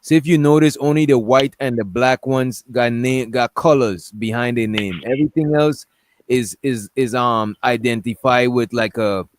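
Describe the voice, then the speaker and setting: A man's voice: mid-range voice, moderate pace, no room sound. A man hosting a casual discussion stream, talking loosely and rambling while he pulls up things on screen. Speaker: stream host.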